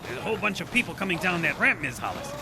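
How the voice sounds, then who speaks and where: A man's voice: high voice, very animated, very fast, background noise. An actor playing a timid, deferential, anxious male sidekick at a mining outpost in a science fiction radio drama. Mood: anxious, alert.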